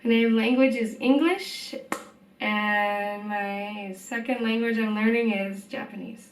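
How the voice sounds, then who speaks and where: A woman's voice: mid-range voice, fairly even intonation, a little slow, faint music behind. A young woman from Texas, chatting casually in a vlog, hesitant and full of ums. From Texas, United States. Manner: hesitant, lots of ums and likes. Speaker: vlogger.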